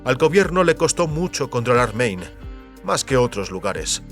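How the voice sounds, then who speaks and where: A man plays the solemn patriarch of a secret family company in an audio drama. He is idealistic and speaks in grave, deliberate speeches at a medium male pitch. Soft music plays behind him. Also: very animated. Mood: solemn, proud.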